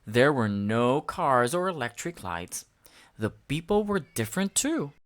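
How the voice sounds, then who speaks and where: A gentle storybook father, voiced by a woman, patient and explanatory. He talks slowly, with a widely varied melody. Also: extremely low pitch, very animated, full character voice. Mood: fond. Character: patient monster father telling a bedtime tale.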